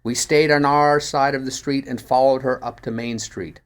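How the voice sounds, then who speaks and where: A man reading a first-person mystery story aloud in an expressive storytelling manner, like an audiobook narrator. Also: medium pitch, normal speed, smooth. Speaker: actor reading a short story.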